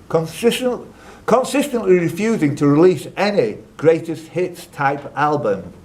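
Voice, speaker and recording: A man's voice: on the high side, darkish tone. A man hosting a live quiz, reading out questions and tossing in chatty, off-the-cuff asides. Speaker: quizmaster. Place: live quiz event at a social club.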